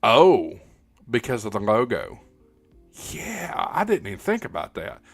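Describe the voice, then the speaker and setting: Low-voiced man chatting on a livestream. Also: huge pitch swings, unhurried, gravelly, no room sound, soft background music. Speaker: livestream host. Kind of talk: casual livestream Q&A chat, reading viewer comments.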